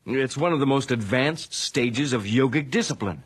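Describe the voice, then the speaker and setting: A man playing an earnest, uneasy investigator who believes in the occult, speaking gravely in a radio drama. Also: mid-range voice, expressive, moderate pace, natural voice. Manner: grave, intent, explanatory. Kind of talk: 1980s radio drama acting.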